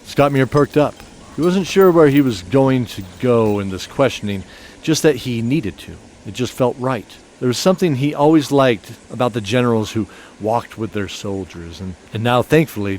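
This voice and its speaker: A man's voice: medium pitch, animated, normal speed, darkish tone, slight background noise. A man narrating a scripted fantasy story in a descriptive storytelling style.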